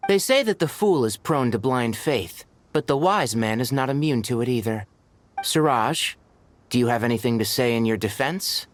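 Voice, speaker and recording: An actor playing a no-nonsense but caring young male mentor in a video game, crisp and measured, given to lecturing. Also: mid-range voice, lively intonation, slight background noise, close to an everyday voice. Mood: stern. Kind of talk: video game cutscene acting.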